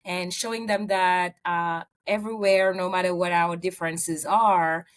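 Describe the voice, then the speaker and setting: A woman from Senegal with a fairly low voice, talking through her career story as an interview guest. She speaks earnestly, with frequent um and uh fillers. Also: fairly even intonation, measured pace. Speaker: real estate agent, guest. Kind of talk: interview answers.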